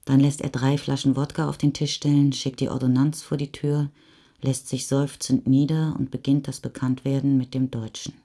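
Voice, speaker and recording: An actress playing the sober, measured narrator of a post-war chronicle in a German radio play. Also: female voice, extremely low pitch, little pitch movement, warm tone. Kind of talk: literary reading in a radio play.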